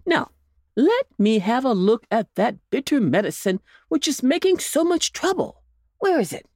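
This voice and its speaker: A woman voicing a kindly old gentleman rabbit in a storybook, speaking in a jolly, coaxing way. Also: fairly high pitch, very animated, moderate pace, no room sound, clean studio sound, voice pushed up.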